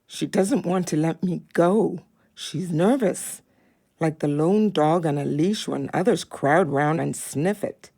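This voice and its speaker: Loyal pet dog, deep female pitch, slow measured delivery, watchful, audio play. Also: warm tone, full character voice. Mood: devoted, watchful. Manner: devoted, measured narration. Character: devoted, watchful pet dog guarding its young owner.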